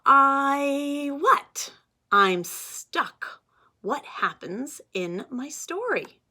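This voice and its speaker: A woman's voice: high voice, big pitch swings, drawn-out words, sharp tone, pitched above the performer's own voice, heavily acted. A woman voicing a small boy who is unsure how to write a story, in a picture book read aloud. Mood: wondering. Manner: wondering, hesitant.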